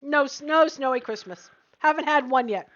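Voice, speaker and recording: A high-pitched American woman bantering casually as a livestream co-host. She talks in a playfully dramatic way, and the audio is slightly dull and band-limited. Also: normal speed, fairly bright tone, no echo. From US West Coast.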